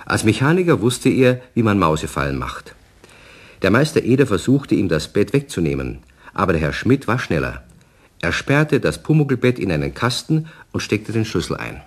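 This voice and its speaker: A male storyteller narrates a children's radio play. His voice is very deep and husky, with lively, wide-ranging intonation in a scripted delivery. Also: moderate pace.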